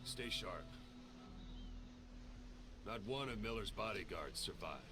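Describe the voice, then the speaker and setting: A man playing a seasoned military intelligence operative in a video game, briefing a soldier in a measured, commanding, dramatic way. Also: fairly low pitch, expressive, noisy background. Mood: grave, commanding. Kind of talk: video game cutscene acting.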